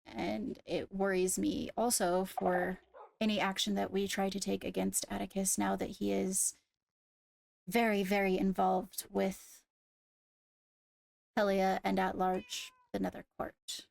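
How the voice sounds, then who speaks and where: A woman's voice: medium pitch, completely flat delivery, creaky, a little mellow. A woman playing a diplomatic court leader in improvised game roleplay, speaking formally and choosing words with care. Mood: cautious, deliberate. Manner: deliberate, formal, careful phrasing.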